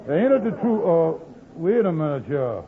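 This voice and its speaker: A self-important, fawning schemer in an old radio sitcom. His highish voice swoops up and down and trails into mutters, on a tinny vintage recording. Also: big pitch swings, normal speed. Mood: blustering. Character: blustering, wheedling, self-important male lodge leader. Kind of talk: 1940s radio comedy acting.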